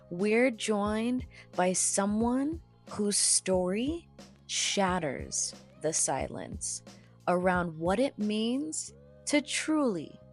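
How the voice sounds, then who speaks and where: A woman podcast host giving a poetic, deliberate introduction and asking interview questions. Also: medium pitch. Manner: slow, deliberate, solemn delivery.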